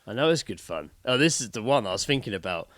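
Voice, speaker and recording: Animated southern English man, swearing, bantering. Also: fairly low pitch, fast, no room sound, clean studio sound. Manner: sweary, jokey, blokey. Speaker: podcast co-host. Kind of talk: casual comic banter.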